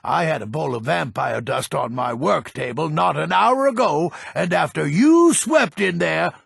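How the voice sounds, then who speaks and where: An actor playing a grumpy, pessimistic old male alchemist and shopkeeper in a fantasy video game, curt and exasperated, with a gravelly rasp. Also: fairly high pitch, lively intonation, measured pace, no room sound, studio quality, full character voice. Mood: scolding, exasperated. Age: older. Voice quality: gravelly, rasping.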